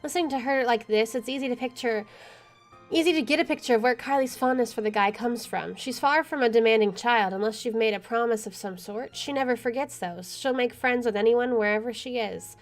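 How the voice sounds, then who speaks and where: An expressive woman playing a game, reading its narration aloud and adding casual, opinionated commentary. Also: fairly high pitch, brisk. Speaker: let's player. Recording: faint music underneath.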